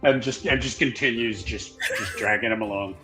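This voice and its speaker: A man at a tabletop roleplaying game, casually describing his character's actions in a halting, jokey way with music behind him. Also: lowish voice, pushed and bright, poor audio. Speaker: tabletop RPG player.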